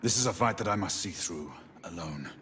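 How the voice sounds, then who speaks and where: A grim, honour-bound former knight in a dramatic game cutscene. His low voice is intense and forceful, swinging widely in pitch, with terse, solemn phrasing. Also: animated, fairly quick, a little hiss or hum. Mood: grave resolve.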